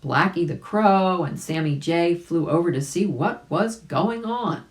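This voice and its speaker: Slow, low-voiced woman reading a children's story. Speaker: volunteer storybook reader. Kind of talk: children's story read-aloud with asides to listeners.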